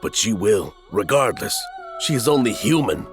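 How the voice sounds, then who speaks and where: A man playing a wry, level-headed friend in an audio drama, speaking in a measured, dry, reproving way. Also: mid-range voice, wildly swooping pitch, music in the background.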